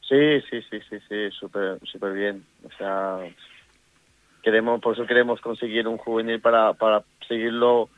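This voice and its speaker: Halting Ecuadorian man on a phone line. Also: on the low side, steady tone. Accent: Ecuadorian Spanish. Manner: halting, many restarts and fillers. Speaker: women's youth football coach. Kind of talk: casual radio interview.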